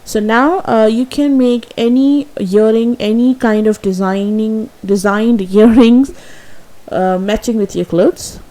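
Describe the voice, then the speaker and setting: Slow, mellow-voiced woman, native Urdu speaker. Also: on the high side, steady tone, poor audio. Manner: hesitant, with frequent fillers and self-corrections; a little nervous. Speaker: craft tutorial host.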